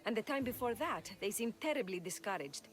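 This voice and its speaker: An actress playing a dry, practical theatre worker in a video game, wry and understated. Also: female voice, mid-range voice, expressive, a little fast, soft background music, natural voice. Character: dry, practical woman helping run a theatre troupe. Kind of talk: video game dialogue acting.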